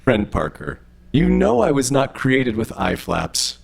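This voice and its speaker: A man's voice: medium pitch, big pitch swings, measured pace, gravelly, slightly rough, exaggerated voice. A man playing an overly friendly, literal-minded robot in an audio drama, with formal, stilted speech. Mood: earnest, friendly.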